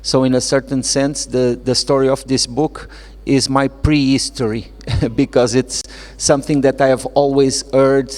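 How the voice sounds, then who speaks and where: A middle-aged man with a Portuguese accent speaks as a guest author at a literary event, reflective and halting as he searches for words. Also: mid-range voice, little pitch movement, a little mellow. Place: indoor event space with reverberant acoustics. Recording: echoey hall with long reverb.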